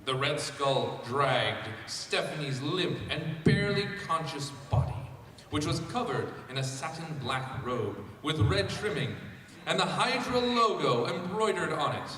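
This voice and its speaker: A man's voice: fairly high pitch, sing-song, unhurried, sharp tone, slight background noise. Man reading aloud in a very echoey hall. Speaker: reader at a fan convention panel. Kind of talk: reading fan fiction aloud. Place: large convention panel room. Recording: echoey hall, distant mic.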